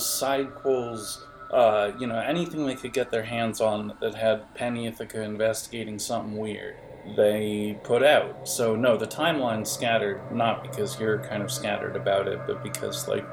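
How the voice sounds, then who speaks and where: A man narrating an improvised tabletop game as game master, in a halting, explanatory way with hesitations. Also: lowish voice, gravelly, a little mellow.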